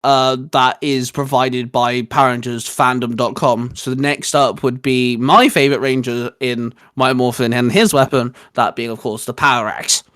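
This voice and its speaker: A man in his twenties with a medium-pitched voice, recorded dry. He co-hosts a casual geeky discussion and explains his opinions in an enthusiastic, rambling way. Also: steady tone, normal speed, hardly any pauses, a bit bright, dry recording. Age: 20s. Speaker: co-host of a fan discussion show. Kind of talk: casual geeky review chat.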